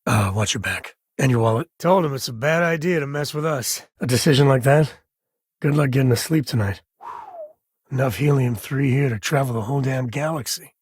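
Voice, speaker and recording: An actor voicing a gruff, wry spacefaring cowboy father in a video game, with a husky, raspy voice and folksy, informal delivery. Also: mid-range voice, no echo, clean studio sound, close to an everyday voice. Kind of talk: video game character voice lines.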